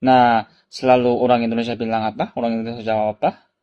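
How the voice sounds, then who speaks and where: A man's voice: medium pitch, little pitch movement, fast talker, clean tone, muffled recording. A man from South Korea with a Korean accent, a native Korean speaker, telling personal stories casually as a vlogger, in simple repeated phrases with rhetorical questions to the listener. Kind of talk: casual personal storytelling to camera.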